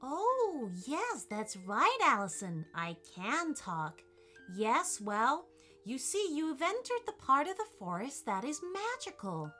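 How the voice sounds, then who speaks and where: A woman's voice: high-pitched, huge pitch swings, slow, bright, forceful tone, faint music behind, pitched above the performer's own voice, heavily acted. A woman voicing a friendly male talking cat in a children's story, genial and courteous. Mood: amused, reassuring.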